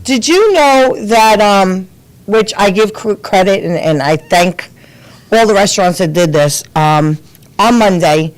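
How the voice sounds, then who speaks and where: A woman's voice: medium pitch, animated, a little slow, rough recording. A woman co-hosting a live web show, answering her partner with dry, scolding retorts. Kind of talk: casual banter on a live stream.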